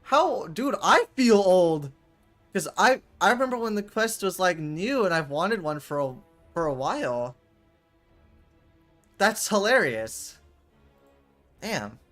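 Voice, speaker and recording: An eighteen-year-old man casting a competitive VR shooter match alone, rambling with lots of fillers between rounds and jumping loud and high when plays happen. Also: very animated, slow pace, long pauses. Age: young adult. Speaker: esports caster. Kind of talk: solo play-by-play game commentary with viewer chat. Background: game music.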